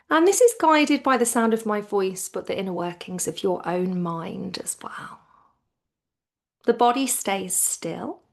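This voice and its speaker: A woman leads a guided relaxation as a yoga teacher. Her delivery is very slow and soothing, with plenty of creak in the voice. She speaks in a hushed way, her phrases softening toward a whisper. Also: mid-range voice.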